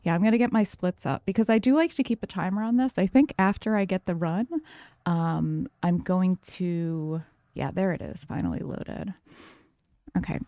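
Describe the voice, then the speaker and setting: A woman livestreaming a game, talking calmly and softly to her chat with lots of fillers. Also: lowish voice, normal speed, dry recording. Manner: soft, calm, many fillers. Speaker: streamer.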